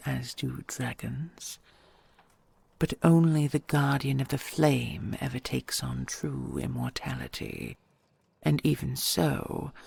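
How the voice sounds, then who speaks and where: A woman voicing an ancient dragon queen in an audio roleplay, tender and regal, speaking in a husky, breathy half-whisper with stately, intimate phrasing. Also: rumbling low, darkish tone, dry recording, exaggerated voice. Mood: wistful, musing. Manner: stately, measured, formal and intimate endearments.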